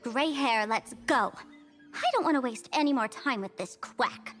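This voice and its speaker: A woman's voice: a little high, lively intonation, a little slow, a bit bright, faint music behind. A woman voicing a mischievous, flippant young trickster performer in a video game, breezy and mocking. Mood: dismissive.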